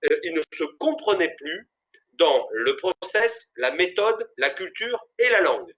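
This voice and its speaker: A man's voice: sits high, big pitch swings, measured pace, fairly bright tone. A man from France speaking on a business panel, emphatic, building his points as lists. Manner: emphatic, list-like rhetoric. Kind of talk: conference panel talk.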